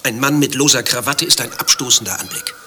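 An actor playing a prim, touchy, nervous gentleman visiting a zoo in a radio play, fussy and stiffly formal in his speech. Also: medium pitch, brisk, background noise, lightly acted. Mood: stiff, defensive.

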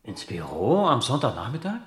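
A man acting a patient, gentle police detective in a crime radio play, questioning politely and in a measured way. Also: medium pitch, huge pitch swings, slightly rough, no echo, heavily acted. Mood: polite, businesslike.

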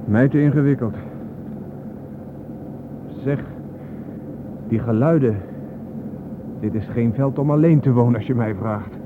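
A man's voice: mid-range voice, measured pace, long pauses, close to an everyday voice. An actor playing a puzzled, skeptical man in a radio play, questioning with incredulity. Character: skeptical, puzzled male companion named Ben, questioning everything. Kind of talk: Dutch radio play acting. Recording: noisy, narrow-band old radio recording.

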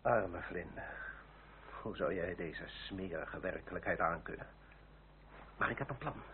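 Muffled old radio drama, scornful french nobleman, deepish voice, formal and bitter. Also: unhurried. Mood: grim, sardonic. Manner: formal, sardonic, contemptuous. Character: bitter, haughty male French marquis in ragged disguise. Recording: muffled old radio play with faint hiss.

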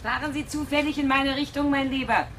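A woman's voice: high-pitched, fairly even intonation, a little fast, a bit bright, slight background noise. An actress playing a drunk, sentimental woman in a radio play, rambling and coquettish. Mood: tipsy, chatty. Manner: rambling, muttering to herself, coquettish. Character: tipsy, remorseful woman drinker, sentimental and chatty.

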